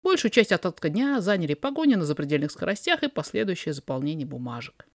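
A narrator reading a fiction story aloud in an expressive, dramatized way. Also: medium pitch, fast, dry recording, studio quality. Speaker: podfic reader, narrator.

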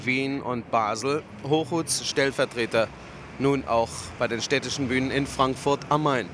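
Male news reporter out on location, medium pitch, measured phrases, noisy traffic background. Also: steady tone. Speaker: radio reporter. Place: on location outside a theatre. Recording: loud street noise, traffic behind.

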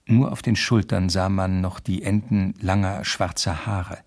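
A man playing a young police detective, narrating his case soberly and precisely in a radio drama, with a husky, creaky voice. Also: sits low, fairly even intonation, unhurried, full character voice. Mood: sober, measured. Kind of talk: first-person scripted radio drama narration.

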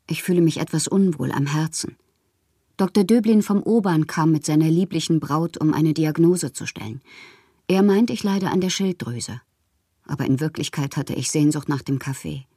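A woman playing a whimsical bohemian poet who confides her letters in an intimate, breathy, theatrical way for a radio drama. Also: low-pitched, mellow, dry recording, clean studio sound, full character voice. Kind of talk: literary radio drama, letters read as monologue.

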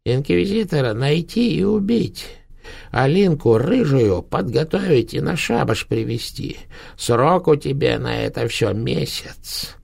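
A man's voice: medium pitch, expressive, measured pace, gravelly, darkish tone, breathy edge, voice pushed up, exaggerated voice. A man voicing a dead, rotting old witch grandmother who sneers and scolds her granddaughter in a cracked, rattling voice. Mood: commanding. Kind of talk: audiobook narration.

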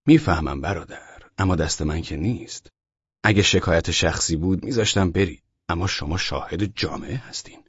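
A man voicing a kindly, resigned police duty officer in a story, calm and official in manner. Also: low-pitched, slightly rough, voice pushed down. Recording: roomy echo, dull lo-fi audio.

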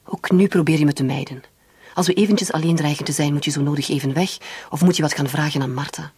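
Anguished lovelorn lady in a radio play, really breathy, intense, close dry sound. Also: low-pitched, steady tone, fast, a little mellow, no echo. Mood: reproachful. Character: anguished woman secretly in love with her brother-in-law. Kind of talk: radio drama acting.

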